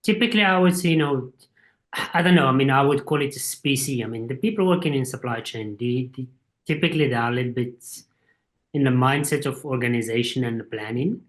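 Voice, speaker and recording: Man, 40s, middle pitch; thoughtful interview guest who explains at length. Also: a little fast. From Morocco. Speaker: guest, supply chain executive. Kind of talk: casual interview.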